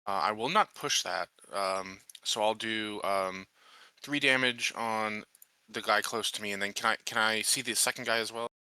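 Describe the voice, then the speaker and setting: A man's voice: lowish voice, normal speed, bright, forceful tone, a little hiss or hum. A man playing a tabletop roleplaying game, talking casually and tentatively as he thinks out his moves.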